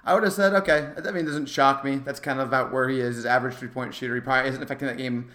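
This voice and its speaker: Fast-talking man in casual sports chat. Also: medium pitch, fairly even intonation, bright, forceful tone. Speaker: sports podcast co-host. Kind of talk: casual basketball discussion.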